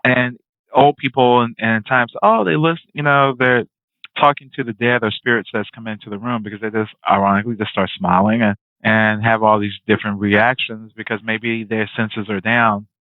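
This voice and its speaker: A man's voice: lowish voice, steady tone, moderate pace, no room sound. Interview host, man, rambling questions, dry close sound, tinny phone-like line. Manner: long winding questions. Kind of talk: casual interview talk.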